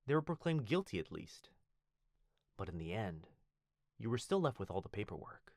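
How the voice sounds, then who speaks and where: A man performs a loyal, courteous butler in an intimate ASMR roleplay. His low voice swings expressively as he speaks with formal deference and fond, gentle teasing. The sound is dry, with no room around it. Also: very animated, normal speed, gravelly, no room sound, heavily acted. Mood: warm, devoted. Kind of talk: ASMR boyfriend roleplay, scripted acting.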